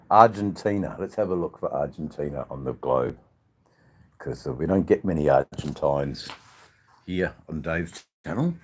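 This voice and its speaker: An older Australian man hosts a casual livestream in a very deep, husky voice. He talks slowly, rambling along and drawing out his words. His chat with viewers is informal and joking. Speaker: livestream channel host.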